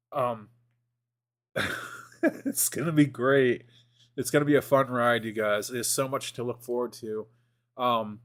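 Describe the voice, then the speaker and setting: Rambling excitable man in his forties. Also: medium pitch. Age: 40s. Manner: rambling, excitable, many fillers and restarts. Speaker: streamer. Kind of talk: casual gaming chat.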